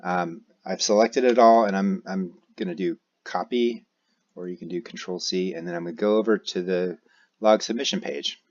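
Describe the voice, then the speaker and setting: Low-pitched American man, hesitant tutorial voice. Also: normal speed, lo-fi sound. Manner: hesitant, thinking aloud while typing. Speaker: tutorial presenter, amateur radio operator. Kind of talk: unscripted screencast software walkthrough. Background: keyboard typing and mouse clicks.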